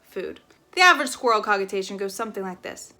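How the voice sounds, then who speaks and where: A woman reading a children's chapter book aloud in an expressive storytelling style. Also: medium pitch, fast talker.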